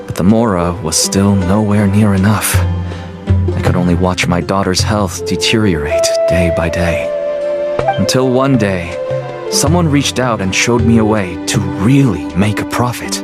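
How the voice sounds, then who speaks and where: A man's voice: low voice, measured pace. An actor playing a desperate, weary merchant father who pleads for help, in a fan dub of a game. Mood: pleading. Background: background music.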